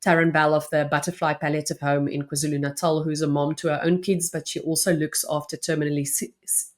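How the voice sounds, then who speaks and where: A woman from South Africa, an Afrikaans native speaker with a South African accent, answering interview questions earnestly with frequent restarts. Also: sits low. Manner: earnest, with restarts and soft laughs. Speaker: podcast guest.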